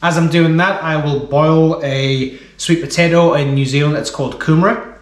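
A man's voice: a little high, steady tone, moderate pace. A man in his thirties with an English accent, chatting casually to camera in a vlog and explaining things as he goes, recorded in a small home kitchen on the camera's mic. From England. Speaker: running vlogger. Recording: echoey kitchen room sound.

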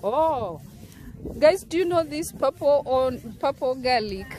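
A woman's voice: high-pitched, expressive, takes it slowly, crowd noise. A woman with a Kenyan accent vlogging a road trip outdoors, chatty and laughing often as she talks straight to her viewers. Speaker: travel vlogger. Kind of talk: casual travel vlog narration. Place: outdoors by a roadside market. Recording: loud outdoor noise.

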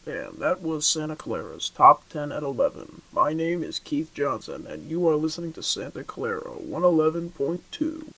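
A man's voice: a little high, very animated, normal speed, creaky, few pauses, bright, forceful tone, heavily acted. A man playing an upbeat local radio DJ making on-air announcements, with hiss on the recording. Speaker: radio host. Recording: noisy radio audio with hiss.

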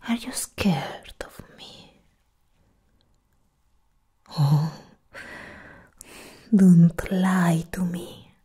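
A young woman playing a proud, menacing goddess of death in a soft-spoken ASMR roleplay, breathy and whispered, close to the mic. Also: on the low side, heavily acted. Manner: slow, deliberate, menacing. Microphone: close ASMR microphone.

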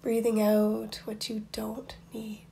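A woman guiding a meditation in a soft, breathy, near-whispered voice, soothing and unhurried, with long pauses between instructions. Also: on the high side, monotone, slight background noise. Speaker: meditation guide. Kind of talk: guided meditation.

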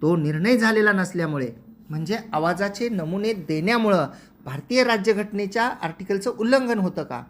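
A presenter speaks at a middling pitch in an explanatory narration. The delivery is formal and methodical, like a legal educator setting out each point and then restating it. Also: animated, moderate pace, slight background noise.

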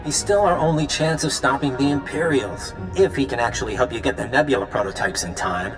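An actor playing an earnest, practical resistance leader in a video game, firm and persuasive. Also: male voice, medium pitch, brisk, music in the background, lightly acted.

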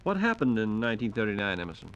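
A man's voice: fairly low pitch, big pitch swings, rapid-fire, clear, resonant tone, dull audio. A man with an American accent co-hosting in scripted 1940s radio style, informally prompting the main speaker. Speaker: radio announcer and co-host. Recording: 1940s radio transcription disc.

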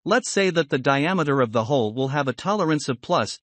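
A man giving scripted, instructional software tutorial narration in a measured, explanatory manner. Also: fairly high pitch, lively intonation, dry recording.

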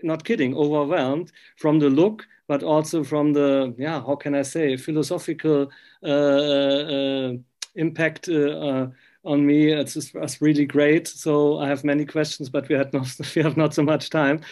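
A man, interviewing casually in halting, English. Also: fairly high pitch, flat delivery, darkish tone. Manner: halting non-native English.

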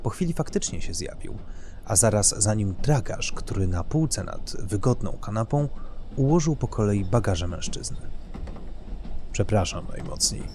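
Casting: man for dramatic fiction narration, deepish and expressive, over clearly audible background noise. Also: moderate pace. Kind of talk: scripted dramatic story narration.

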